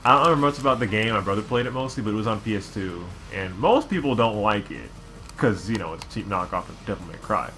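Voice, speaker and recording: A man's voice: lowish voice, lively intonation, fast talker, pushed and bright, soft background music. A man giving casual game commentary, chatty and rambling as he explains things. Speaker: let's play co-commentator. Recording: noticeable background noise.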